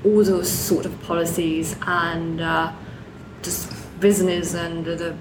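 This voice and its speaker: A woman with a blend of American, Chinese and British accents speaks in a casual discussion. Her voice is slightly low and she often rephrases as she goes. The room echoes, with clear noise in the background. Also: flat delivery, slow pace. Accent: mixed American, Chinese and British. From China.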